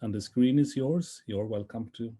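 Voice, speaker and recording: A man moderates an online conference session over a video call. His voice is mellow and relaxed, and he talks in a polite, chatty way that rambles a little. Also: mid-range voice, normal speed, husky.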